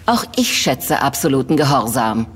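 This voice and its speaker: An actress playing a cold, domineering shareholder in an audio drama, speaking in a clipped, formal manner. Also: female voice, rumbling low, very animated, moderate pace, husky, full character voice. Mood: commanding, cool. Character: cold, commanding female shareholder and conspirator. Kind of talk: German audio drama acting.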